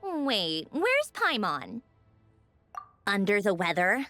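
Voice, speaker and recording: An actress playing a poised, high-ranking villainess in a video game, arch, teasing and self-assured. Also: female voice, wildly swooping pitch, slow, a bit bright, full character voice. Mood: teasing, curious. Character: poised high-ranking female Harbinger, haughty, teasing, genteel. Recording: game music behind the voice. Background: background music.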